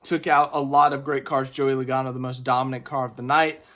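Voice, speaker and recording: A man with strep throat giving a casual, chatty race recap, excitable and full of fillers. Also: medium pitch, brisk. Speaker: racing vlogger.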